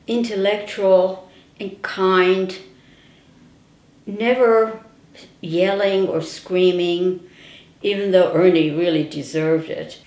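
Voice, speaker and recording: A woman's voice: fairly low pitch, fairly even intonation, takes it slowly, long pauses, a little mellow. Older woman reminiscing in an echoey room. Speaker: interviewee. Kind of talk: personal oral-history reminiscence. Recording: roomy indoor echo.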